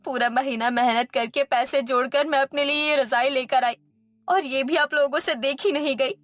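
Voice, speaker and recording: A woman's voice: high-pitched, normal speed, fairly bright tone, no echo, soft background music. A woman playing a meek, suffering young daughter-in-law in a Hindi cartoon story, plaintive and earnest.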